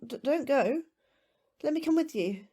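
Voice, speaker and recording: Horror podcast role: anxious female scientist, higher register, unsure and doubting. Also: expressive, a little slow, mellow. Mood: frightened. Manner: hesitant, stammering, incredulous. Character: anxious, questioning astrobiologist new to the facility. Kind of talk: audio drama acting.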